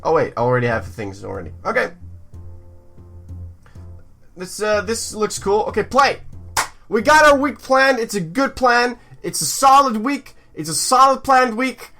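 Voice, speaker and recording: A man's voice: high voice, very animated, unhurried, pushed and bright, faint music behind. A man hosting a let's play, reading story text aloud with joking asides and stumbles over words. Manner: jokey, stumbles over words and repeats them for effect. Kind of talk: playful game commentary mixed with reading visual novel text aloud.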